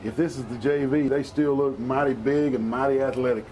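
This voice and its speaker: A middle-aged man calling a local football game, folksy and excitable, his voice hoarse. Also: medium pitch, brisk, a little hiss or hum, rough recording. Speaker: play-by-play announcer.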